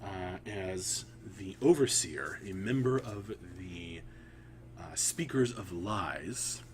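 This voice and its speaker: A man hosting a storytelling livestream, speaking haltingly with frequent pauses. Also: deep voice, expressive. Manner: halting, pausing often. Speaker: writer, editor and stream host. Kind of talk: casual livestream chat and story reading. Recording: audible background hum and noise.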